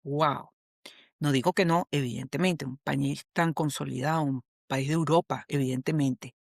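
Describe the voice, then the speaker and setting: A woman's voice: low-pitched, fairly even intonation, moderate pace, creaky, dry recording, studio quality. A woman with a Venezuelan accent, an HR specialist and coach, explaining a model as a podcast guest in a patient, teacherly way, often checking that the listener follows. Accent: Venezuelan Spanish.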